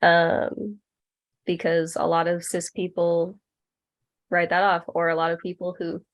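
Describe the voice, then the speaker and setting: A woman speaking as a workshop panelist in an informal, halting and reflective way. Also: on the low side, monotone. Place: online video call.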